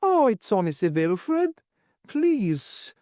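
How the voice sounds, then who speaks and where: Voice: big pitch swings, slow pace, mellow, dry recording, studio quality. A narrator voicing a fretful, sentimental landlady in an audiobook, plaintive and emphatic. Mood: pleading. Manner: plaintive, emphatic, high-strung. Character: fretful, sentimental, agitated middle-class landlady.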